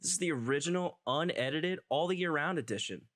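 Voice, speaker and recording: A man's voice: a little high, fairly quick, a bit bright, natural voice. A man playing a bookish, cheeky, self-assured young son in a comic dub. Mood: bookish, proud. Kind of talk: dramatic comic dub acting.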